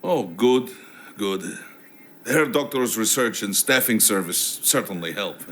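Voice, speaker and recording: A man's voice: lowish voice, expressive, unhurried, a bit bright. A man playing an affable middle-aged military businessman in a video game, courteous and wry.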